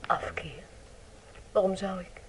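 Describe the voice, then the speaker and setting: An actress playing a composed, guarded young woman who questions quietly and gently in a radio play. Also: medium pitch, slow pace. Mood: quiet, wistful. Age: young adult. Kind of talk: radio drama acting. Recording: radio play with clear background noise.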